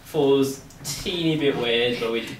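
A man's voice: mid-range voice, takes it slowly. Teen boy, casual self-deprecating story, noisy echoey room, far off. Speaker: busker telling a story. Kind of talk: nervous live storytelling. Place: small room at a live storytelling night.

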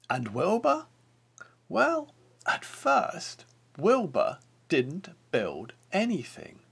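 A man's voice: medium pitch, creaky, slightly rough. A man in his fifties with an English accent reads a picture book aloud theatrically, speaking directly to the listener. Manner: theatrical, expressive, addressing the listener. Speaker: children's author and storyteller.

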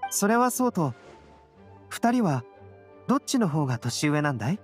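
A woman voicing a serene young male intellectual in an anime game, calm, reflective and polite. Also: sits low, normal speed, faint music behind. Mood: calm, curious. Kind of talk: Japanese anime game voice acting.

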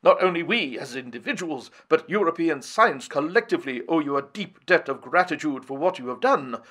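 A man's voice: fairly high pitch, lively intonation, fairly quick, fairly bright tone, voice pushed up. A man voicing a pompous, self-important older professor who speaks in lofty, formal phrases. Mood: grandiose.

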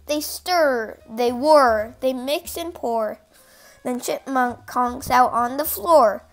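A child reading a picture book aloud in an expressive storytelling style. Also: high-pitched, takes it slowly, soft background music.

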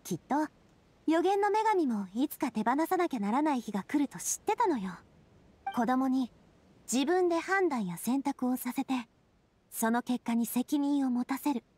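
A woman's voice: sits high, animated, normal speed, a little mellow, husky. A woman voicing a confident, straight-talking young female ruler in a Japanese video game, encouraging and casually frank. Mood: earnest, assured. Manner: casual, frank, assured speech.

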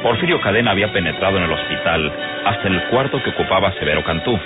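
A man's voice: deep voice, lively intonation, quick pace. A man with a Mexican accent narrating a radio serial in a dramatic, scripted storytelling style. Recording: muffled old radio recording, music behind.

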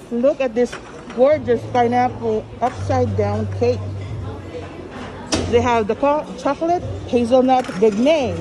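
A woman's voice: high voice, low-quality recording. A woman giving casual vlog commentary, chatty and enthusiastic, often repeating herself, filmed on a handheld phone. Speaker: vlogger. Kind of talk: casual vlog commentary while touring a buffet. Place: large busy buffet restaurant, indoors. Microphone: handheld phone camera. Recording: busy indoor restaurant crowd and music. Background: diners' chatter and background music.

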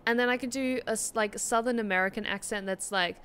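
A woman's voice: on the high side, quick pace, fairly bright tone, clean tone. A young Australian woman, a streamer and voice actor, chatting casually while playing a game with lots of fillers. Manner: chatty, many fillers, rambling. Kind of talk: casual chat while gaming. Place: small room indoors.

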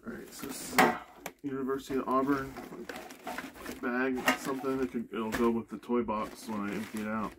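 A man's voice: medium pitch, level tone, fairly quick. A man narrating casually as he digs through boxes inside a cargo trailer, thinking aloud, recorded on a body-mounted camera. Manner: offhand, thinks aloud with fillers. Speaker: storage-unit reseller. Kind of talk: casual narration while unpacking. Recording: noisy camera audio.

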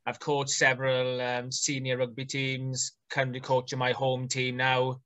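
Bright-voiced Welsh man in his thirties. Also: mid-range voice, unhurried. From Wales. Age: 30s. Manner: relaxed, chatty, many ums and fillers. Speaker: rugby coach, guest. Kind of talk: casual video-call interview. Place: small home room indoors. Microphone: video call webcam.

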